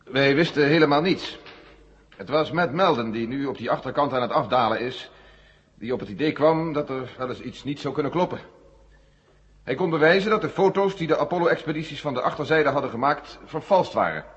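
A long hall echo and muffled old tape carry a man acting a general of middling pitch. He is sober, frank and authoritative, speaking formally and with measure, as in a 1970 radio play. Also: lively intonation, a little fast, close to an everyday voice. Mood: grave, frank. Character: frank, sober American general leading a space agency. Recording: dull 1970 radio recording, echoing hall.

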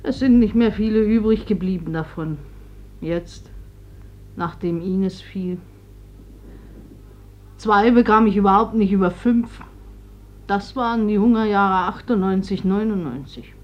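A woman's voice: mid-range voice, a little slow, long pauses, lightly acted. An actress playing a sorrowful, kindly old village woman in a radio drama, confiding hesitantly. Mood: sorrowful, gentle. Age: older. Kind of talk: classic German radio drama acting. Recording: dull, faintly noisy old radio recording.

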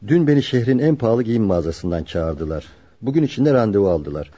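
A loyal carpenter in a radio play, with a dark, husky voice. He is serious and measured, and the recording sounds muffled. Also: fairly low pitch, sing-song. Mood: earnest, serious. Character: loyal, earnest carpenter protecting his childhood friend. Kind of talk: radio drama acting.

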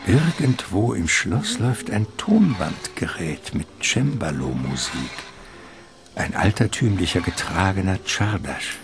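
A man narrating a literary radio play in a measured, ornate storytelling manner. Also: low-pitched, sing-song, over music.

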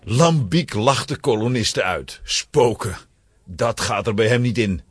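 Male storyteller, lively pitch swings, husky voice, dry sound, children's audio play narration. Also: dry recording.

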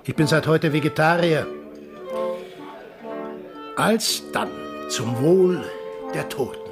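An actor playing a bitter, mocking sailor in a radio drama, with a sardonic, emphatic way of talking. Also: male voice, fairly high pitch, slow pace, creaky, music in the background. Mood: mocking, defiant. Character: bitter, mocking sailor confronting village men over buried dead.

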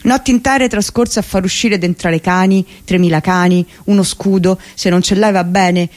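A reader giving a measured, reflective first-person memoir reading on radio. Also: mid-range voice, steady tone.

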